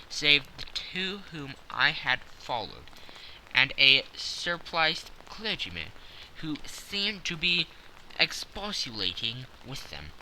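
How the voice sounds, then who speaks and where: A man's voice: medium pitch, pushed and bright, a little hiss or hum, poor audio. A man narrating a classic story as a scripted, dramatic audiobook.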